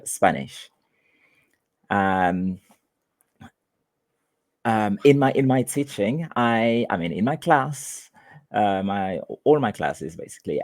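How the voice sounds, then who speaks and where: A man from Haiti whose first language is Haitian Creole, a teacher answering interview questions in a halting way with many restarts. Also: deep voice, darkish tone, no room sound. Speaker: teacher and guest.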